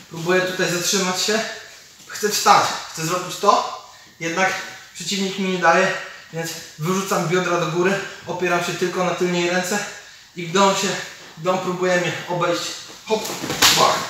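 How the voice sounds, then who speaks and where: A man's voice: high voice, pushed and bright. A man, explaining martial arts techniques informally as an instructor while demonstrating them. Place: large indoor MMA cage gym. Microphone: camera several metres away. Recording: echoey gym, distant mic, some noise.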